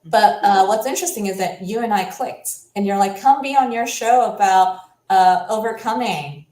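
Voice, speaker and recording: A woman whose first language is Cantonese, a coach talking as an interview guest in an animated, casual way, often ending with "right?". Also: medium pitch, normal speed. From United States. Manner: animated, ends points with "right?". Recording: echoey room.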